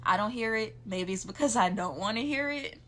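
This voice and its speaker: A woman's voice: medium pitch, animated, fairly quick, pushed and bright. A young woman from the south side of Chicago, with a Chicago accent, chatting casually as she explains local slang. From south side of Chicago, USA.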